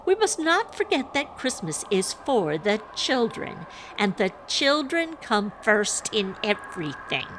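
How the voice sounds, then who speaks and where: A woman's voice: mid-range voice, very animated, measured pace, hardly any pauses, fairly bright tone, a little hiss or hum, pitched above the performer's own voice, low-quality recording. A woman voicing a theatrical young daughter in a story, cheerful and giddy, tending to squeal. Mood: staged delight.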